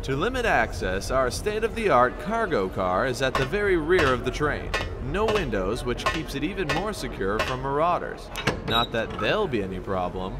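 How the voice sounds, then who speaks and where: A man's voice: medium pitch, big pitch swings, normal speed, over music, lightly acted. A man voicing a polite, formal male train concierge in a fan comic dub, courteous and a bit flustered.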